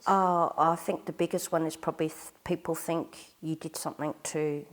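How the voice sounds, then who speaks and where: A woman with an Australian accent, speaking in a measured way in a documentary interview. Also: deep voice, steady tone, rough recording.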